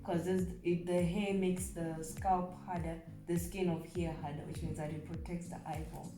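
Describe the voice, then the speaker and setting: A woman's voice: lowish voice, flat delivery, normal speed, natural voice. A young woman playing a curious, chatty student in a casual, dramatized educational conversation, giggly and informal. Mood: surprised, curious. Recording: roomy echo, faint music behind.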